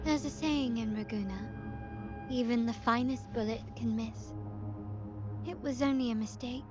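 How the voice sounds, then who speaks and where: A woman voicing a cool, composed young gunwoman in a video game, measured, restrained and formal. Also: a little high, dull audio, over music, lightly acted. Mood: cool resolve. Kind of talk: video game story dialogue.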